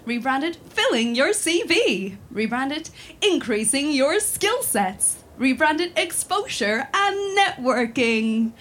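A woman's voice: high voice, very animated, takes it slowly, hardly any pauses, fairly bright tone. A woman in her twenties from Sweden, talking with many fillers and restarts, then reciting a spoken word poem built on repeated refrains. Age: 20s.

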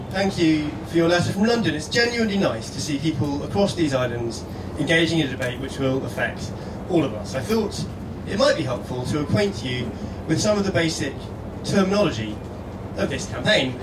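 A man's voice: fairly high pitch, normal speed, few pauses. A man from Scotland giving a prepared, persuasive address on stage in an earnest, rhetorical way. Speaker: guest speaker. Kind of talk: reading a prepared persuasive letter aloud on stage. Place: live stage event indoors. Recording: echoey room, distant mic, steady background noise.

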